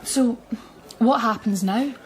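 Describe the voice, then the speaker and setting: Higher-pitched, inquisitive Scottish policewoman. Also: slow, a little hiss or hum, rough recording. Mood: fascinated, probing. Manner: probing, earnest. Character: curious, concerned female police detective constable. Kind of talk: naturalistic radio drama acting.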